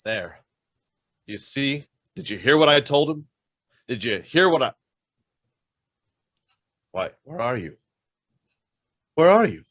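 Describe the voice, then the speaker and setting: An actor playing a guilt-ridden, fervent embezzler on the run in a radio drama, with an emphatic, theatrical delivery. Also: male voice, medium pitch, sing-song, slow pace, long pauses, fairly bright tone, no echo, clean studio sound, exaggerated voice. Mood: bewildered. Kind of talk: stage-style radio drama acting.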